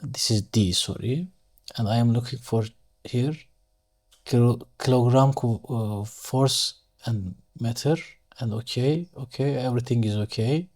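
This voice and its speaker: A man with a Turkish accent, a native Turkish speaker, giving an unscripted step-by-step software tutorial, thinking aloud haltingly and correcting himself as he goes. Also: mid-range voice. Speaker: engineering software tutorial instructor. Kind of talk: unscripted screen-share tutorial, step-by-step explanation.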